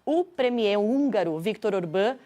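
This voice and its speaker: A woman's voice: mid-range voice, lively intonation, measured pace, warm tone. Brazilian woman reporting live news.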